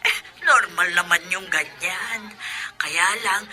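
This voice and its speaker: Elderly provincial grandmother, very bright forceful voice, fond, chatty, radio drama, music underneath. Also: fairly low pitch, takes it slowly, exaggerated voice. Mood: curious, amused. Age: older. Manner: chuckling, chatty, affectionate.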